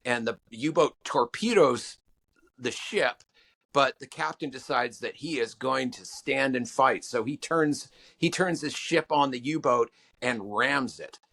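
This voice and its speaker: A man being interviewed as a songwriting guest, talking in halting stops and restarts with many fillers. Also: sharp tone. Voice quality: low voice. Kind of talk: podcast interview answers.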